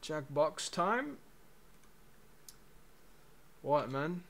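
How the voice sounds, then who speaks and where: A young man on a livestream, talking slowly and lazily to his chat with a slightly raised voice. He jokes around in a laid-back way. Headset mic in a small room at home. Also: on the high side, sing-song, fairly bright tone. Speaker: streamer.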